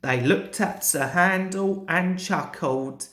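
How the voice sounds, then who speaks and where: A woman reading a children's storybook aloud in a deliberate, expressive manner. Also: lowish voice. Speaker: story reader. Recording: roomy, echoing home recording.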